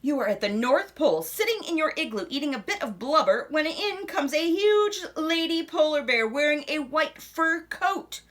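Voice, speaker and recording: A woman with a fairly high voice reads a picture book aloud to children. Her pitch swings in a lively way, and she uses dramatic pauses and drops into hushed asides. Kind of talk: expressive children's read-aloud.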